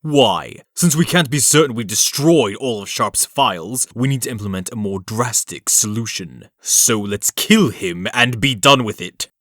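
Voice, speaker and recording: Male actor as ruthless aristocratic villain, contemptuous, articulate, forceful edge, very dry sound, comic dub. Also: medium pitch, lively intonation, measured pace, hardly any pauses, no room sound. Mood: cold, goading. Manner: sneering, sardonic, articulate.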